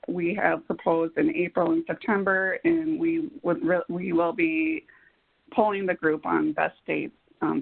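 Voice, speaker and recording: Halting woman presenter with a creaky voice. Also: fairly low pitch. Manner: halting, piecemeal phrasing. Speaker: presenter on an orientation call. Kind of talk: slide presentation on a conference call. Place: web conference call. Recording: dull, lo-fi conference call audio.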